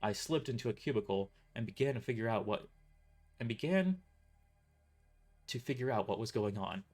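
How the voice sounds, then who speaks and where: A man's voice: medium pitch, expressive, normal speed, a little mellow, soft background music. A man reading a first-person story as a dramatic narrator, occasionally stumbling over his words. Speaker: author narrating own novel.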